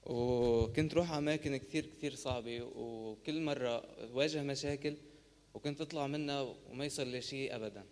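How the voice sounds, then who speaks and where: A man from Syria with a Syrian Arabic accent telling his personal testimony in a rapid, emotional way. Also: medium pitch, a little mellow. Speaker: baptism candidate. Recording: long church reverb.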